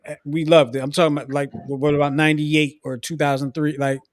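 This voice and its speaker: A man's voice: on the high side, little pitch movement, fast, no room sound, studio quality. A man hosting a casual sports podcast, laid-back and teasing, slightly losing his voice. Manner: laid-back, teasing, repeats sign-off phrases. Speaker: podcast host.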